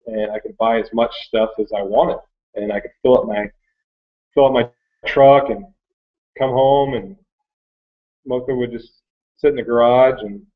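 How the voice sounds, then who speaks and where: Low-voiced American man, phone-like sound. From Michigan, United States. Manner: rambling, conversational. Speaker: online reseller sharing his story. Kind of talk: casual unscripted storytelling. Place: small cluttered workroom indoors. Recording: thin phone-like webcam audio.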